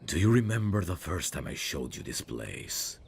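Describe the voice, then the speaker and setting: A man playing a brooding evil prince, tender and sorrowfully noble, speaking in a slow, formal, wistful way for an intimate ASMR fantasy roleplay. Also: rumbling low, big pitch swings, creaky, a little hiss or hum, heavily acted. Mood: tender, solemn.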